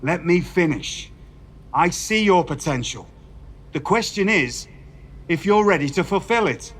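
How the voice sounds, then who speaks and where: An actor playing a stern older wrestling general manager, speaking in a measured, formal, lecturing way. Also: male voice, high-pitched, animated, a bit bright, breathy edge, heavily acted. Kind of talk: video game voice acting.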